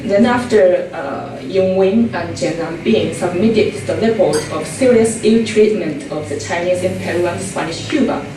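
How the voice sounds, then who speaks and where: A woman's voice: on the low side, a little slow, hardly any pauses, noisy background. A woman from Japan with a Japanese accent, giving an academic conference talk in English with frequent ums and uhs. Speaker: conference presenter. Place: conference room. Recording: echoey hall, mic at a distance.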